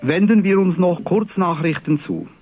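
A man from Valais with a Swiss accent, presenting church news on the radio in a formal, measured reading. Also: high voice, lively intonation, muffled recording, loud music behind the voice. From Valais, Switzerland. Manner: formal, measured, clear delivery.

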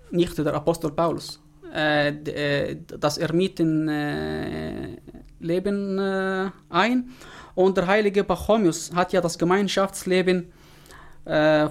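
A man whose first language is Arabic, answering interview questions in a halting way with frequent pauses and restarts. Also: on the high side, creaky. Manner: halting, many fillers and restarts. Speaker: interview guest.

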